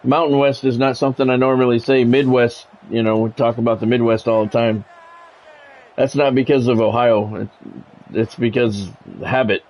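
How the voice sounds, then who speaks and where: A man's voice: mid-range voice, flat delivery, normal speed, darkish tone, poor audio. A man livestreaming a video game, giving casual commentary and chatting with viewers in a repetitive, explanatory way.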